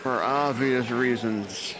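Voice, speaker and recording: An actor playing a jaded male nurse supervisor who talks with calm, dry gallows humor. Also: medium pitch, slow, lightly acted. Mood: wry grim. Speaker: charge nurse. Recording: noisy background.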